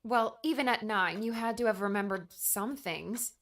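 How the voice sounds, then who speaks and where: Casting: actress as sad young woman seeking company, audiobook drama, medium-pitched, hesitant, full of questions. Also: moderate pace, fairly bright tone, lightly acted. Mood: curious, sympathetic.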